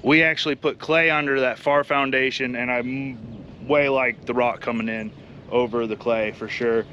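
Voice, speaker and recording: Male farmer-host, 30s, Midwestern American, medium pitch, informal vlog chat recorded outside. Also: bright, forceful tone, dull audio, a little hiss or hum. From Iowa, United States. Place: outdoors on a farm.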